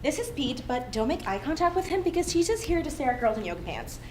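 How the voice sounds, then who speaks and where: A woman's voice: sits high, sing-song, fast talker, a little mellow. A woman playing an eager, teasing yoga devotee in a comedy sketch, chatty, blunt and breezy, recorded in an echoing room. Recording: roomy echo, faint ambient noise.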